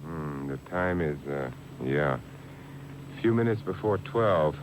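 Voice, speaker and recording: A noisy old cassette copy of a radio mystery carries a suave, wry sleuth. His delivery swings with lively intonation. He stays urbane and unflappable, with dry little pauses, in 1950s style. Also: deep voice, heavily acted. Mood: wry, probing.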